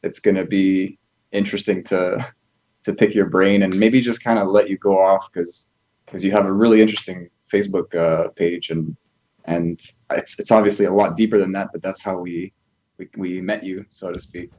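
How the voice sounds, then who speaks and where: A man's voice: deep voice, fairly even intonation, normal speed, darkish tone, dull audio. A man co-hosting a podcast, asking a loose, meandering question without much preparation. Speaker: podcast co-host. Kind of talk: casual podcast chat.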